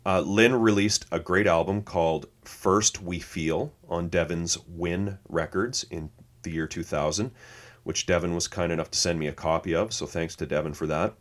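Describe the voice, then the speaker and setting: Very low male voice, casual podcast co-host, relaxed, reads from notes, laughs easily. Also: moderate pace.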